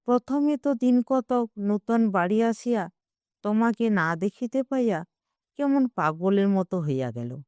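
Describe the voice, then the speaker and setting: A woman reads literary fiction as an audio story narrator. Her delivery is slow and measured but expressive, with a soft, mellow tone. The recording is close and dry. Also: mid-range voice, smooth, no echo.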